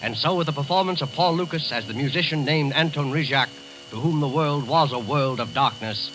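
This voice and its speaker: A man's voice: on the high side, brisk, a bit bright, poor audio. A man hosting a 1940s radio program, reading a scripted introduction in announcer style. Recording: old radio broadcast with music.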